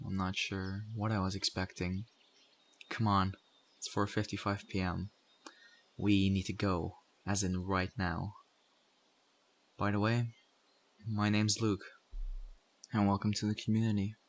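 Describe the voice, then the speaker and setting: A man acts out a weary male survivor who helps run a community after the zombie apocalypse. His voice sits very low and moves little in pitch. He speaks conversationally and directly to the listener, as in an audio roleplay, with a serious, put-upon manner. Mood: brisk, urging. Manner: conversational, urging, mildly exasperated.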